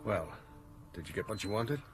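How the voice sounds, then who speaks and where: An actor playing a brisk, skeptical male spaceship captain in a science-fiction radio drama, questioning what he is told. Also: on the low side, animated, over music, lightly acted. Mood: businesslike.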